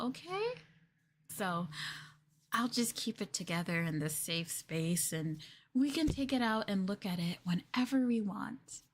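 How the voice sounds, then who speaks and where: Slow, coy, possessive girlfriend played by a woman. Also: mid-range voice, a bit bright, full character voice. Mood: affectionate, coaxing. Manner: coy, doting, giggly, hesitant phrasing. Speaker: ASMR roleplay streamer. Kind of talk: ASMR girlfriend roleplay, improvised-sounding.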